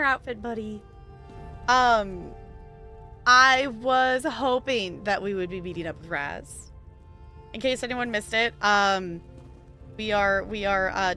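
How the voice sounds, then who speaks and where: A woman's voice: medium pitch, pushed and bright. A woman in her twenties chatting casually as she streams a game, rambling from thought to thought with frequent laughs. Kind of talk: casual gameplay commentary.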